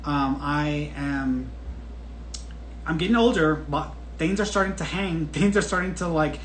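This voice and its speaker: A man in his late thirties talks casually and candidly to his audience, restarting sentences as he goes. His voice sits a touch high for a man. The room is echoey, with a faint hiss of noise behind him. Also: measured pace, a bit bright. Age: 30s. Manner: chatty and candid, with frequent restarts and laughs. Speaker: podcast host answering viewer questions. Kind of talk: casual solo talk.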